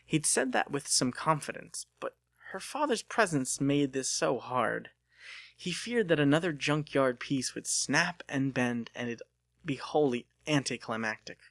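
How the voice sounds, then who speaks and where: A man's voice: medium pitch, creaky, fairly bright tone, no echo, studio quality. A man narrating an audiobook as a dramatic scripted reading.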